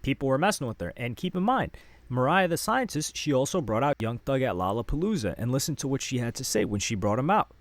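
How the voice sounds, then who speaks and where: A man's voice: mid-range voice, big pitch swings, quick pace, no room sound. American man narrating gossip, close dry sound. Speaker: online gossip commentator. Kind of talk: news-style gossip commentary, reading out posts.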